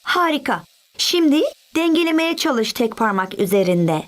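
A woman's voice: a little high, big pitch swings. A woman voicing a friendly young girl who explains things helpfully in a children's cartoon. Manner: unhurried, instructive.

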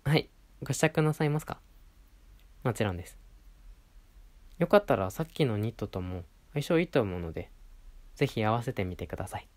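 A man's voice: lowish voice, lively intonation, fairly quick, long pauses, a little mellow, close to an everyday voice. A man playing a polite, attentive clothing shop assistant in a roleplay audio, speaking courteously to a regular customer. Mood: helpful, friendly. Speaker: voice performer in a situation voice audio. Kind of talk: Japanese situation-voice roleplay addressed to the listener.

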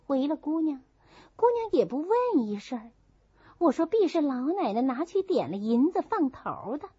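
A woman's voice: sits high, mellow, dry recording, pitched above the performer's own voice. A woman voicing an outspoken young maidservant in an audiobook, indignant and insistent. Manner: insistent, complaining. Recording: muffled, dull audio.

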